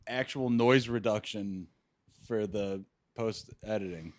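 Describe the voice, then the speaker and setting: A man's voice: on the low side, slow pace, dry recording, clean studio sound. A man hosting a casual podcast, bantering in a blunt, teasing, profane way. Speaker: podcast host.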